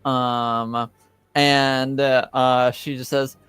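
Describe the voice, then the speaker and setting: A man narrating as game master of a tabletop game, improvising haltingly as he searches for words. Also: mid-range voice, little pitch movement, fairly bright tone, clear, resonant tone, no echo, soft background music.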